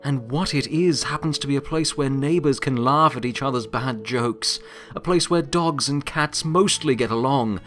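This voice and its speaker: A man playing a weary, wry, remorseful pony who serves as Death, speaking in a reflective, halting, confiding monologue in an audio drama. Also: mid-range voice, a bit bright, soft background music, natural voice. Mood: earnest, hopeful.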